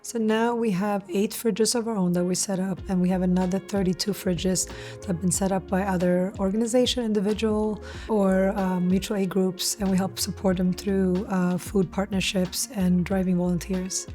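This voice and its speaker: Even, mellow woman's voice over music. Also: mid-range voice, normal speed. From Stockholm, Sweden; born in Eritrea. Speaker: founder of a community food nonprofit, interviewee. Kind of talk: reflective interview talk.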